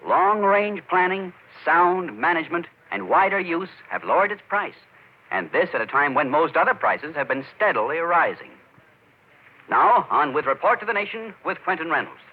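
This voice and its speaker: A male announcer delivers a persuasive, folksy sales message in 1940s radio style. His voice is fairly high and very animated. It comes through a narrow, tinny vintage broadcast recording. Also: normal speed. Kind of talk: 1940s radio sponsor pitch.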